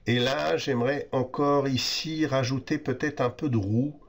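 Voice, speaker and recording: A man with a French accent, a bit stuffy from a cold, talking through a photo editing tutorial in a relaxed way and often pausing to think aloud. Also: mid-range voice, vocal fry. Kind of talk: screen-recorded software tutorial.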